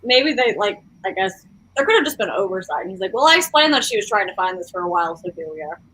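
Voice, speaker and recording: A woman chatting casually in a book discussion, speaking in a tentative, halting way. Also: medium pitch, expressive, fairly bright tone, a little hiss or hum, rough recording. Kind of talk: casual book club chat.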